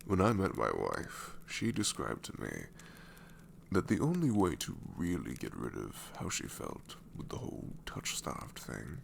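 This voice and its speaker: A man's voice: low-pitched, gravelly, slight background noise, heavily acted. A man voicing a sassy, married male shadow demon in an ASMR roleplay, talking sarcastically and drawling, often dropping into whispers. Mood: musing.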